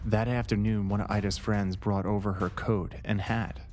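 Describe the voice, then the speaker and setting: A man reads a scary story as its narrator, in short, deliberate phrases. His voice sits slightly low, and music plays clearly behind him. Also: little pitch movement, a little mellow. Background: background music.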